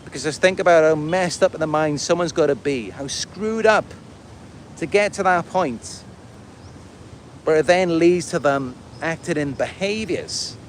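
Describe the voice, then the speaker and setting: Male livestreamer, Welsh accent, voice a bit on the high side, loose rambling delivery, light street noise behind. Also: lively intonation, a little slow. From Wales. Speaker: livestream host and content creator. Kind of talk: casual livestream monologue, answering viewer comments.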